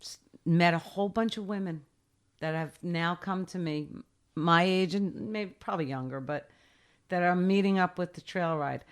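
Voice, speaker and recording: A woman in her sixties talks in a relaxed interview. She is emphatic and direct, driving her points home in short repeated phrases, and her voice sits fairly low. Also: moderate pace. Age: 60s. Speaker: interview guest. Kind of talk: casual interview.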